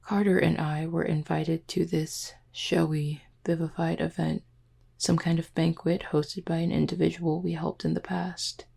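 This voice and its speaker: A woman voicing a flirty, composed female werewolf second-in-command in an audio roleplay, teasing and measured, with a low, husky voice. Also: little pitch movement, dark tone, heavily acted. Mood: coy, composed. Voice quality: low, husky, breathy with some creak. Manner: teasing, measured, deliberate wording. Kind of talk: roleplay audio drama, intimate one-sided dialogue.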